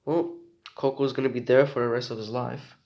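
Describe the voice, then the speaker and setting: Sick teen boy vlogging, rambly and jokey, lots of slang, restarts a lot, medium pitch. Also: steady tone, brisk, muffled recording. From Romania. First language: Romanian. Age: teens. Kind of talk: casual rambling monologue.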